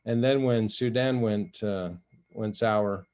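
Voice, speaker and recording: Older African-born man, Swahili first language, lowish voice, measured interview guest with many restarts. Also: a little mellow. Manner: measured storytelling with frequent restarts and light laughs. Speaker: guest, retired general and envoy. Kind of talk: interview conversation.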